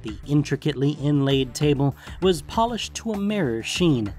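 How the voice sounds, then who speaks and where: A man's voice: a little high, sing-song, normal speed, a little mellow, soft background music. A man acting as narrator, reading scripted fantasy fiction.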